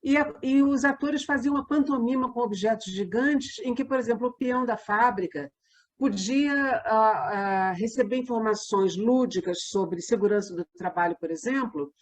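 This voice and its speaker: A woman's voice: fairly high pitch, normal speed. A Brazilian woman, a theatre company member, explaining her work in a conversational interview.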